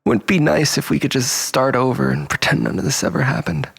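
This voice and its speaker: A man playing an obsessive, bitter, unhinged jilted lover in a dramatic monologue, volatile and halting, moving between breathy whispers and outbursts. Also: medium pitch. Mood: pleading, tender. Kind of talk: dramatic first-person monologue.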